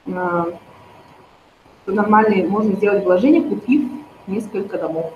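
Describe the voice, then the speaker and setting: A woman's voice: mid-range voice, unhurried, slight background noise. Woman, persuasive and roomy. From Yakutia. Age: 30s. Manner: persuasive, addresses viewers directly. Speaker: network marketing promoter. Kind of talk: persuasive talk to viewers. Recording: roomy, slightly dull recording.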